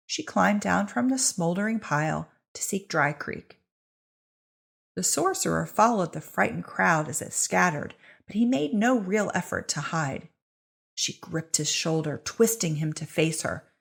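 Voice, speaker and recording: Husky, creaky woman narrating dramatic fiction. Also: lowish voice. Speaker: story narrator.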